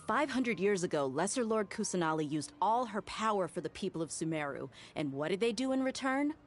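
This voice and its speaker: A woman's voice: medium pitch, big pitch swings, brisk, lightly acted. A woman playing a tough, outspoken, skeptical woman in a video game, blunt and challenging. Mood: indignant. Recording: game music under the voice.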